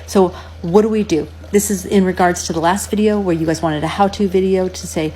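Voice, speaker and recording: Woman, mellow tone, earnest coach asking rhetorical questions. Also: lowish voice, steady tone, fairly quick, a little hiss or hum, rough recording. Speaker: relationship advice creator. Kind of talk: casual advice talk.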